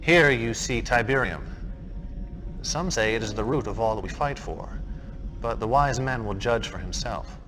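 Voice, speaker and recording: An actor playing a commanding, self-assured cult leader in a video game cutscene, speaking in a measured, imperious, knowing way. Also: male voice, mid-range voice, a bit bright, soft background music, lightly acted. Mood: knowing, smug.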